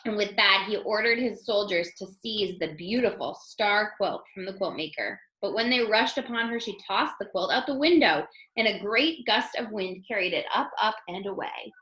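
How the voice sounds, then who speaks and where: A woman reading a children's storybook aloud in an expressive storytelling style. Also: mid-range voice, moderate pace, hardly any pauses, roomy sound, rough recording. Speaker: storybook reader.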